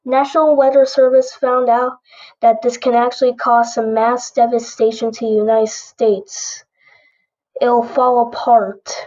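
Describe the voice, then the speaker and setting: A woman's voice: on the high side, flat delivery, measured pace, a little mellow, lo-fi sound, natural voice. A female synthetic-sounding voice playing a formal, impersonal weather-warning announcer reading a scripted alert.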